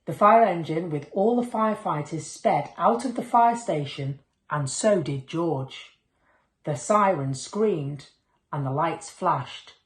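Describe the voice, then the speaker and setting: A woman's voice: low voice, slow. A woman reading a children's picture book aloud as a storyteller, expressively acting out the dialogue. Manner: expressive, acts out dialogue and sound words. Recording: echoey room.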